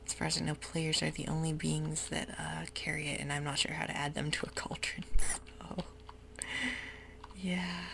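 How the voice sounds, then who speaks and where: A woman whispering ASMR gameplay commentary in a soft, rambling way with many ums. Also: low-pitched, little pitch movement, moderate pace, gravelly, a little hiss or hum. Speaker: gaming ASMR host.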